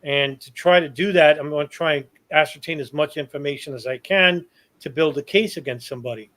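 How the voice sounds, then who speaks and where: Earnest man in his fifties, higher voice. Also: brisk, fairly bright tone. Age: 50s. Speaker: talk show guest and online activist. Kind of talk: casual opinionated talk.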